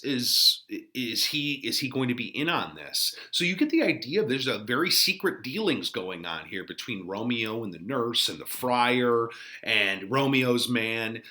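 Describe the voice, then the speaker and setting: A man's voice: mid-range voice, sharp tone. A man teaching literature, giving informal explanatory commentary and putting things in everyday words.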